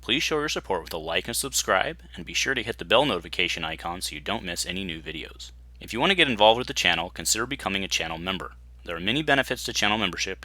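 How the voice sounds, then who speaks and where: Deep, brisk man reading channel promo. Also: sharp tone, no room sound. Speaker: channel host. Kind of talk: scripted promotional narration.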